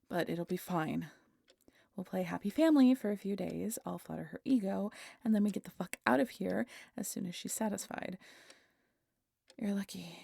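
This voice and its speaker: Woman acting a female vampire, low husky voice, weary, dryly sardonic, protective, halting, intimate audio roleplay, very dry close sound. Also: a little mellow, dry recording, exaggerated voice. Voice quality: breathy, husky, often dropping to a whisper. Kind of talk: intimate scripted audio roleplay addressed to the listener.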